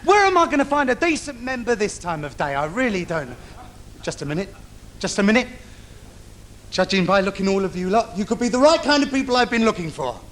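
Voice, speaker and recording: A man's voice: high voice, very animated, fairly quick, fairly bright tone. A male actor playing a cheeky, camp, bitchy comic sidekick in an adult stage pantomime, calling out to the audience. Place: theatre stage. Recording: noisy live theatre stage recording.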